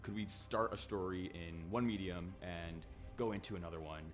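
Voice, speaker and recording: A man's voice: low-pitched, normal speed, faint music behind. A man speaking as a game developer in a behind-the-scenes documentary interview.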